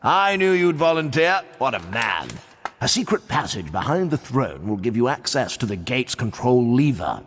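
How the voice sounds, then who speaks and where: A man's voice: medium pitch, sing-song, moderate pace, a bit bright, a little hiss or hum. An actor playing a jovial, blustering old-fashioned king with a plummy, pompous upper-class British accent in a video game. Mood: hearty, rousing. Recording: long echoing hall reverb.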